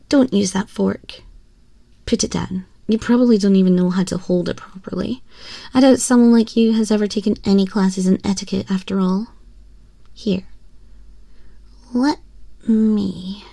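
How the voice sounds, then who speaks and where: A woman's voice: medium pitch, warm tone, no echo. A woman voicing a haughty, teasing, domineering young empress in an ASMR roleplay, condescending and often dropping toward a whisper. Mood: haughty, commanding, then cooing, smug. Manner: condescending, slow, breathy purring with whispers. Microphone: close binaural microphone.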